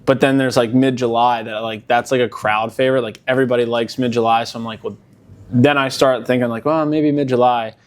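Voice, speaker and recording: A man's voice: medium pitch, fairly quick. Easygoing young man rambling casually. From Delaware County, Pennsylvania. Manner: relaxed, rambling, many fillers like "like". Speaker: musician guest. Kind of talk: casual interview chat.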